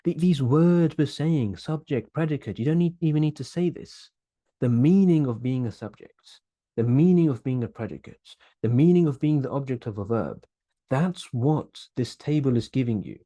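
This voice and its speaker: A young man gives an online grammar lesson, speaking earnestly and often doubling words as he works through an idea. His voice is mellow and soft-edged. The sound is close and dry, as from a webcam mic in a small room. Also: medium pitch, animated, normal speed, dry recording, dull audio. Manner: earnest, explanatory, repeats words while thinking. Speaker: Arabic grammar teacher.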